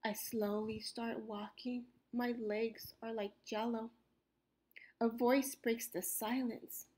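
A woman storyteller reading a rhyming children's picture book aloud expressively, with deliberate, dramatic phrasing and emphasized words. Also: a little high, level tone, a little mellow.

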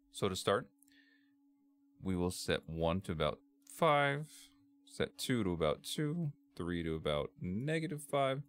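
A man with a bass-heavy voice narrating a software tutorial in a measured, step-by-step way as its presenter. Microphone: computer microphone, screen-capture voice-over.

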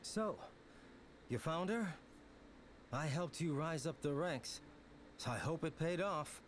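An actor playing a defensive young rebel in a game drama, curt and wry. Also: male voice, fairly high pitch, expressive, takes it slowly, slight background noise. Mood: defiant. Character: stubborn, brooding young rebel man, defensive. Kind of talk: dramatic video game voice acting.

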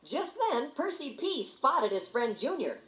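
Woman, children's story narrator, expressive, highish lively pitch, background music. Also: unhurried. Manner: dramatic, expressive reading.

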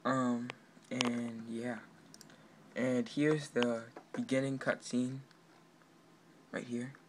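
Casual male tutorial narrator, halting and offhand, little pitch movement, faint background noise. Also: level tone. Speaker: amateur game developer. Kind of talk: casual screen walkthrough, explaining his project. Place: indoors at a computer. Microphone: handheld camera pointed at monitor. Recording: noisy amateur room recording.

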